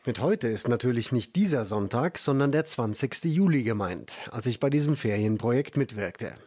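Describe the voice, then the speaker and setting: Local radio reporter, man, husky and animated, presenting and interviewing. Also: mid-range voice, normal speed.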